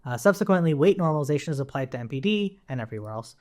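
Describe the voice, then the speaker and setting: A man's voice: a little high, expressive, very fast, a little mellow. A man giving a casual live coding tutorial, thinking aloud in an informal, explanatory way as he works. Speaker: programming tutorial instructor.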